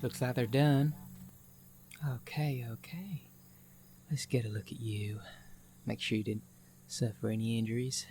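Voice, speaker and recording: A man's voice: medium pitch, steady tone, unhurried, pauses often, a little mellow, a little hiss or hum, close to an everyday voice. A man as eager young creature student, folksy, kindly, hesitant, corny, fantasy audio drama. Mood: gentle, curious. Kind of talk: character acting in an ASMR audio roleplay.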